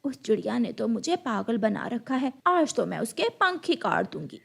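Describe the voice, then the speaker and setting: Woman as a high-voiced, snappish stepmother bird. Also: sing-song, moderate pace, vocal fry, noisy background, full character voice. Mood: angry. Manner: scolding, snappish. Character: harsh, hot-tempered stepmother bird.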